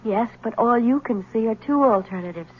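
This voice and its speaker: A woman's voice: fairly high pitch, brisk, husky. A woman acting a proud, distraught, devoted wife in a 1970s radio drama, with emphatic, precise, theatrical delivery. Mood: earnest, defiant. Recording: muffled old radio broadcast.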